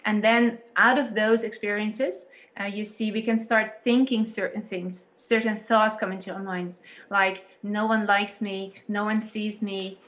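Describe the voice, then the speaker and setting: A woman's voice: medium pitch, fairly even intonation, fairly bright tone. Dutch woman explaining over video call. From Netherlands. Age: 30s. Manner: careful, halting explanation with many ums. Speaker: pastor and psychologist guest.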